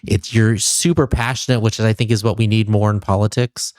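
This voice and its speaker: A man's voice: on the low side, steady tone, brisk, a little mellow, dry recording, studio quality. Easygoing man hosting an interview. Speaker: podcast host. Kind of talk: casual interview, rapid-fire questions.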